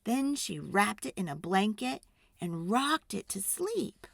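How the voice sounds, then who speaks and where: A woman reading a children's storybook aloud in a dramatic, expressive and deliberate narration. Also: mid-range voice, creaky, dry recording.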